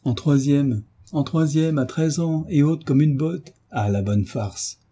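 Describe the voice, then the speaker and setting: A man's voice: on the high side, measured pace, mellow, slightly rough, voice pushed up. A man voicing a scornful fifteen-year-old schoolgirl with a sneering, incredulous manner, in a storybook reading. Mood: mocking.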